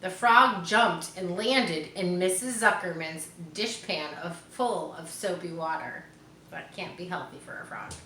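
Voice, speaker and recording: A woman reads a children's story aloud at an unhurried pace. Her bright voice rises and falls with lots of expression, in an echoey room. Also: on the low side. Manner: expressive, explains asides to young listeners. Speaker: storybook reader. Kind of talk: children's read-aloud narration. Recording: roomy echo, faint background noise.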